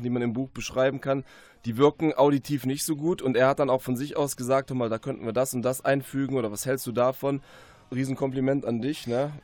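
A man's voice: medium pitch, darkish tone, dry recording. A man speaking as a guest author in a conversational radio interview, earnest, reflective and emphatic.

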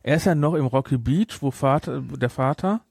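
A man with a German accent chatting casually about a film as a podcast host, with an animated, teasing manner. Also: mid-range voice, moderate pace, creaky, no echo, studio quality. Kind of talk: casual chat, film discussion.

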